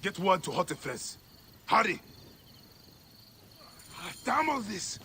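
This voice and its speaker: An intense, protective warrior, widely varied pitch. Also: male voice, sing-song, slow pace, sharp tone, slight background noise, full character voice. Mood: urgent, alarmed. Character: protective, vengeful adult male warrior in ancient Egypt. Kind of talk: video game cutscene acting.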